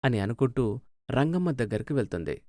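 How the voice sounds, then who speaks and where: A man's voice: mid-range voice, sing-song, a little fast, warm tone, dry recording, clean studio sound. A man narrating a scripted moral story as a storyteller.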